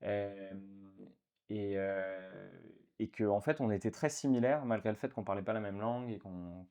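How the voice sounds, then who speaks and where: A man's voice: lowish voice, moderate pace, darkish tone. A man in his thirties from France, a guest in a casual interview, talking with many fillers and restarts.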